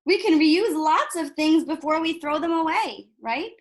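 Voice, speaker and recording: High-pitched woman reading aloud to children. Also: moderate pace. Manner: animated, instructive, asks rhetorical questions of young listeners. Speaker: teacher reading a picture book aloud to young children. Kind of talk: expressive read-aloud with explanatory asides.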